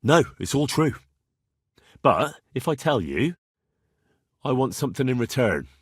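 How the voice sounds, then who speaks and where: A man's voice: mid-range voice, sing-song, a little slow, slightly rough, no room sound, clean studio sound. A male actor playing a cheeky, blunt young swordsman who haggles cockily in a medieval video game. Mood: cocky bargaining. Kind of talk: video game character dialogue.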